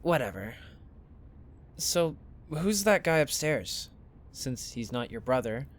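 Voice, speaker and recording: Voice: mid-range voice, big pitch swings, takes it slowly. An actor playing an awkward, sarcastic, suspicious teenage best friend in an audio drama, hesitant and grumbling. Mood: sardonic, suspicious. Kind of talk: naturalistic audio drama acting.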